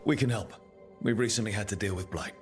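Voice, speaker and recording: An actor playing a steady, reasonable and supportive team leader in a fantasy game. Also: male voice, fairly low pitch, fast, faint music behind, natural voice. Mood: steady, decisive.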